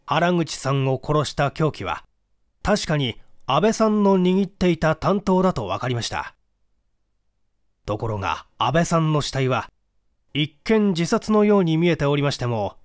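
A man voicing a courteous, methodical police detective in a mystery audiobook, speaking politely in measured explanations. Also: on the high side, no room sound, clean studio sound. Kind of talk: dramatic audiobook reading of a mystery.

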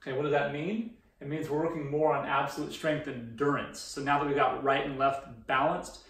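A man's voice: on the high side, level tone, normal speed, fairly bright tone. Echoey, distant-sounding man coaching. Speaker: fitness coach presenting a training programme. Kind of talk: informal instructional presentation. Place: indoor room beside a presentation screen. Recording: roomy echo, distant microphone.

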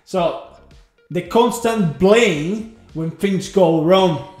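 A man's voice: high voice, extremely slow. A man who drives trucks, hosting a casual chat and acting out what people told him in bursts. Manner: animated, talks in bursts, acts out dialogue. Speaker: trucker and channel host. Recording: echoey room with faint music.

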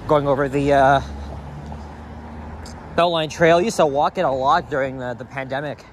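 A man's voice: medium pitch, steady tone, moderate pace. A young man rambling casually as he vlogs on a walk outdoors with a handheld camera. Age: young adult. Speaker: vlogger. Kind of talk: casual walking vlog. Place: outdoors on a city sidewalk. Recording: outdoor street traffic noise. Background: cars and street ambience.

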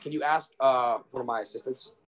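A man's voice: mid-range voice, a little fast, a bit bright. A young man in his twenties livestreaming from a bedroom, talking restlessly to his chat and friends with lots of swearing. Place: bedroom in a house.

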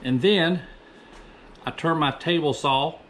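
A man's voice: a little high, slow pace, fairly bright tone, slight background noise. A man in his fifties giving a casual, rambling voice-over as a woodworker, often correcting himself. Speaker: woodworker presenting own project. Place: small indoor woodworking workshop.

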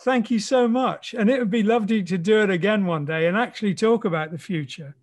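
Guy with a high voice hosting a relaxed chat on a call, polite and appreciative. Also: a little fast. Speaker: conversation host. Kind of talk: casual conversation. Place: remote call.

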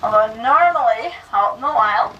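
A woman's voice: fairly high pitch, expressive, normal speed, dull audio. A woman guiding a zoo train tour outdoors, quizzing kids and coaxing animals out into view. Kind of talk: guided tour talk to riders. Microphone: handheld microphone over a train speaker, heard from the camera. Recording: distant voice with outdoor noise.

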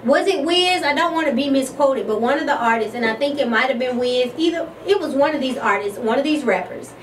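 A young woman with a fairly high voice gives her opinions in relaxed, emphatic conversation. She sounds a little off the microphone in a roomy space, with faint noise behind her. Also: brisk, far from the mic. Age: young adult. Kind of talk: casual opinionated studio chat. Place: small radio studio. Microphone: boom-arm studio microphone.

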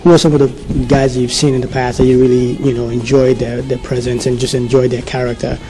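A man's voice: mid-range voice, level tone, a little fast, music in the background, rough recording. A man asking sports interview questions in a friendly, conversational way into a handheld mic. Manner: friendly, conversational, hesitant fillers.

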